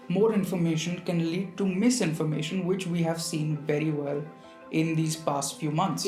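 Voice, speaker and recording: A man's voice: a little high, darkish tone. A man hosting a video essay, narrating in a conversational storytelling way and addressing the viewer directly. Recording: roomy voice with background music.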